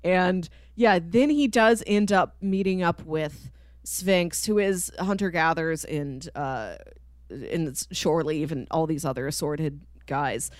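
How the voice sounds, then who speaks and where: A woman's voice: deep voice, slow, no echo, studio quality. A woman co-hosting a podcast, chatting casually and explaining things in detail with an enthusiastic, chuckling manner. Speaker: podcast co-host. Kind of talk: casual chat, explaining and recapping a TV cartoon.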